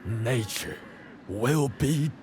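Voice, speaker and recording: An actor playing a solemn, brooding male mystic who speaks gravely in a fantasy video game. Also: mid-range voice, animated, extremely slow, darkish tone, faint music behind. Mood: grave, ominous. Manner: grave, portentous. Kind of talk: video game cutscene acting.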